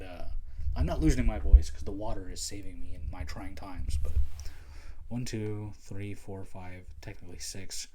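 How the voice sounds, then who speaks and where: A man's voice: on the low side, noisy background. A young man casually reviewing anime on his own, rambling, swearing a lot and making fun of himself. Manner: rambling, profane, self-mocking. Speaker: podcast host reviewing anime. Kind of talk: casual solo chat.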